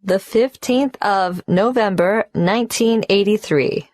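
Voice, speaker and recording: A polite, cooperative young woman applicant, voiced by an actress, who answers each question carefully. She speaks slowly and clearly, in a dry studio recording. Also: mid-range voice, darkish tone, no echo, close to an everyday voice. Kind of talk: scripted exam listening dialogue.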